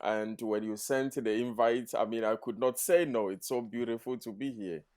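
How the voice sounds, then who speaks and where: A man in his mid-thirties speaks as a podcast guest, his voice sitting at an ordinary middle pitch. He talks in an earnest, measured way, building full and rather formal sentences. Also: fairly even intonation, darkish tone. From Ghana. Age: 30s. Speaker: guest, math teacher. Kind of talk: podcast interview.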